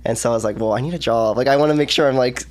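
Young texas guy on a podcast, super casual, says like a lot, medium pitch. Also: very fast. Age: 20s. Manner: casual, lots of "like" fillers. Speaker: guest. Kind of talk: casual interview chat.

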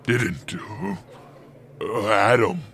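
A man playing a big, timid, socially awkward man-made creature who stammers haltingly in a deep, gravelly voice. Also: big pitch swings, fairly bright tone, slight background noise, heavily acted. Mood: nervous, evasive.

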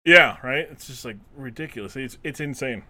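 A man co-hosting a casual podcast chat, animated, acting out quotes and laughing often. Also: mid-range voice, normal speed, noisy background. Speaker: podcast co-host.

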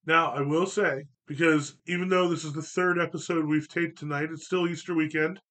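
A man's voice: a little high, fairly even intonation, brisk, fairly bright tone. A man hosting a podcast, leading a casual, jokey chat with friends. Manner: jokey and upbeat, leads the talk. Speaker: podcast host.

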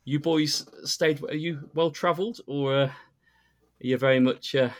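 Laughing friendly British guest. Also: male voice, fairly high pitch, steady tone, moderate pace. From northern England. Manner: friendly, laughs often, calls others "my man". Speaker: podcast guest. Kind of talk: casual chat.

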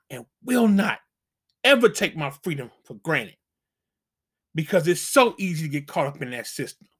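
A man's voice: high voice, fairly bright tone. A middle-aged man hosting a channel, giving casual dating advice as an emphatic, preachy warning full of slang.

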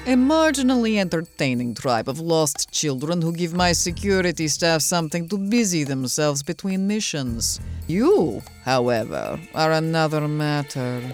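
A woman's voice: sits low, lively intonation, normal speed, soft background music. A woman playing a stern, haughty female Air Force colonel in a comedic audio drama, speaking in formal commands. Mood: stern, imperious.

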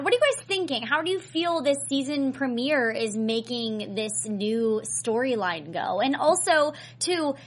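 A woman's voice: on the high side, fairly bright tone. A woman hosting an after-show, chatting casually and animatedly with a panel and viewers. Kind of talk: casual fan discussion.

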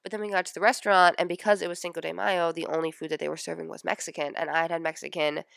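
Young woman, twenties, podcast host, stuffed-up nose, gravelly fry, casual rambling delivery. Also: on the low side, level tone, racing, a bit bright, dry recording, studio quality. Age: 20s. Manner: chatty, lots of "like" and "um". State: stuffy nose from a sinus infection. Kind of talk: casual personal podcast chat.

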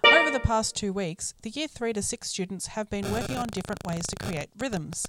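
A woman's voice: mid-range voice, expressive, a little fast, loud music behind the voice, poor audio. A woman presenting a school podcast as its host, reading from a script.

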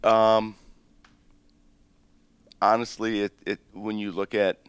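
A man in his fifties, born in Greece and raised in the United States, telling his family's story as a restaurant-owner guest in a relaxed, rambling way with many ums. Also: lowish voice, slow pace, clear, resonant tone, no room sound. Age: 50s. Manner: relaxed, rambling, with frequent ums and self-corrections. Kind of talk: casual interview storytelling.

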